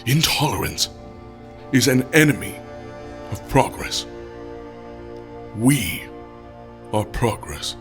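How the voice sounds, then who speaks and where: A solemn, commanding leader. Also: male voice, mid-range voice, big pitch swings, faint music behind, heavily acted. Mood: resolute. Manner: measured, solemn, quietly menacing. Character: commanding male leader addressing a council. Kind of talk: audio drama acting.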